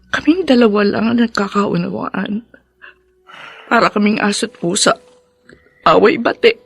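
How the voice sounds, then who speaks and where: An actress with a Filipino accent playing a lonely, grieving daughter in a radio drama, tearful, halting and pleading. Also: female voice, fairly high pitch, creaky, breathy edge, no room sound, heavily acted. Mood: tearful grief. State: tearful, crying. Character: grieving lonely daughter Remedios, devoted former caregiver. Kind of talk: Tagalog radio drama acting.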